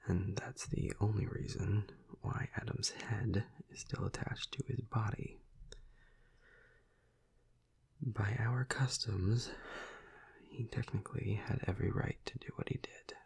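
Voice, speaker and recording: A man playing a flirty, protective vampire of high rank in an intimate audio roleplay, speaking softly and hesitantly in a breathy, gravelly half-whisper. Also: fairly even intonation, full character voice. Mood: quietly menacing, scornful. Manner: slow, hesitant, soft, soothing. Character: flirty, protective male vampire, high-ranking in his clan.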